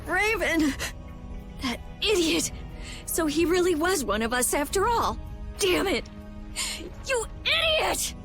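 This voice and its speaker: An actress voicing a hot-tempered, sharp-tongued teenage girl mage in a video game, quick to emotion. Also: extremely high pitch, very animated, exaggerated voice. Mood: anguished. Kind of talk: game voice acting. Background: game music.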